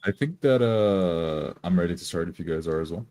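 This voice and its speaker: Low-pitched man chatting on a game stream. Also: expressive, brisk, warm tone. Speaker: player in a streamed tabletop game. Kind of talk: casual livestream chat.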